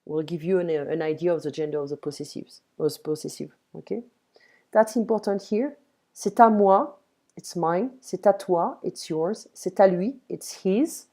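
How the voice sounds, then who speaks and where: A woman teaching grammar in English, patiently modelling each word slowly. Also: lowish voice, mellow. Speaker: French tutor. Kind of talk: one-on-one language lesson, explaining grammar.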